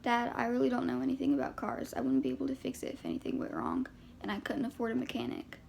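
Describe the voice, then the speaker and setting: A woman's voice: on the high side, quick pace, gravelly, a little mellow, a little hiss or hum. A woman voicing a reserved, polite teenage girl in an audiobook reading, understated and dry. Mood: doubtful.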